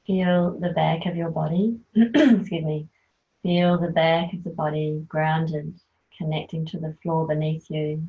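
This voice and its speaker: Slow, soft-spoken woman guiding relaxation. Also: deep voice, completely flat delivery. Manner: slow, soft, soothing instruction. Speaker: yoga nidra teacher. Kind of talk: guided relaxation. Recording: tinny phone-like sound.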